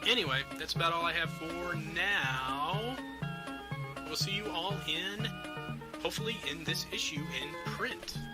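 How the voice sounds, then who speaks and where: A man with a fairly high voice talks casually and directly to his listeners. Music is clearly audible behind him. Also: a little slow. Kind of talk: casual sign-off.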